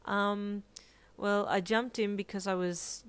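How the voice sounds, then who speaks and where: A woman voicing a shy, earnest young man in a romance story, soft-spoken and hesitant, apologetic as he speaks. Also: mid-range voice, level tone, takes it slowly, clear, resonant tone, close to an everyday voice.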